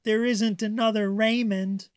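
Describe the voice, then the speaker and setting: A man's voice: high-pitched, flat delivery, measured pace, clear, resonant tone, no echo, studio quality, pitched above the performer's own voice. A man voicing a young boy shark from a storybook, plaintive, childlike and pouty. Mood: pouting.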